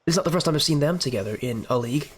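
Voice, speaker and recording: A man with a slightly raised voice and a quick pace casts an esports league on his stream. He has the flu, so he sounds stuffy-nosed and sniffly. He keeps chatting loosely to fill the wait. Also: on the high side, expressive, slightly rough. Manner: chatty filler talk while waiting. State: sick with a stuffy nose, sniffling. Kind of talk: solo stream commentary.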